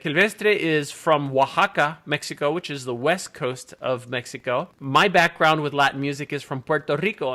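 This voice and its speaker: A man's voice: fairly high pitch, sharp tone. A man hosting a friendly, enthusiastic interview over a video call. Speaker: interviewer for a bass magazine. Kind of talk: casual remote interview.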